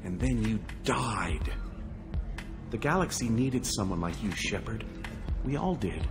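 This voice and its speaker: An eager, self-important fanboy who fancies himself a space hero in a video game. His pitch leaps around animatedly as bluster turns to earnest pleading. Music plays clearly behind him. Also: animated, measured pace. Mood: defensive, earnest. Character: delusional, boastful wannabe male space hero, eager fanboy.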